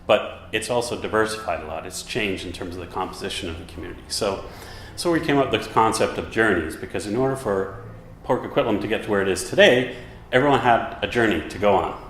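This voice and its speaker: A man's voice: on the low side, quick pace, fairly bright tone, a little hiss or hum. A man who organized an exhibit, explaining its idea in an interview. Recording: echoey with faint ambience.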